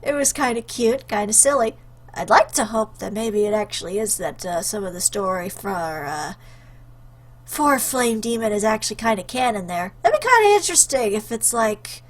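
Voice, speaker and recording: A woman with a fairly high voice hosts a gaming video. Her commentary is casual and playful, slipping between reading game lines aloud and chatting about them.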